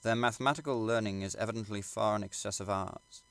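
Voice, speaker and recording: A man reading literary fiction aloud as an audiobook narrator, in a measured, formal storytelling manner. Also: lowish voice, creaky, a bit bright. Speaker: audiobook reader.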